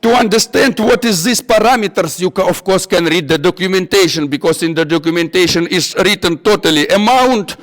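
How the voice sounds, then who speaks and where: A man with a non-native English accent giving an informal technical workshop, halting and repeating himself as he searches for words. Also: high voice, a bit bright. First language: not English. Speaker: workshop instructor. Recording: echoey hall with long reverb.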